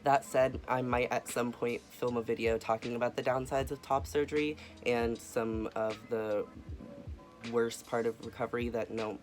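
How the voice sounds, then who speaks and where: A young man talks softly and earnestly into his camera, filling gaps with ums and uhs. His voice sits at an ordinary middle pitch for a man. Light music plays faintly behind him. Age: young adult. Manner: soft-spoken, earnest, with frequent fillers. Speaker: vlogger. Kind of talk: casual personal update.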